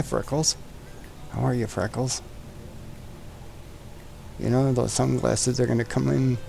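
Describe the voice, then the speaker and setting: Gravelly, slow-talking flight sim host. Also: male voice, medium pitch, a little mellow, slight background noise. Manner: chatty, joking, talks to the aircraft. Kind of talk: casual chat while flying a simulator.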